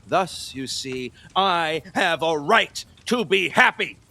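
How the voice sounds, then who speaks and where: A man's voice: a little high, animated, slow, bright, forceful tone, exaggerated voice. A man acting a pompous, lecherous, superstitious king in an audio play, grandiose and rambling. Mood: boastful, elated.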